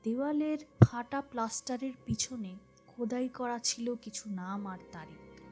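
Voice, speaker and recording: A woman with a soft, dark-toned voice reads a suspense detective tale as a podcast narrator. Her delivery is measured and dramatic, sinking into hushed phrase endings. Music plays underneath her narration. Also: fairly high pitch. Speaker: narrator of an audio story podcast. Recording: voice over a music bed.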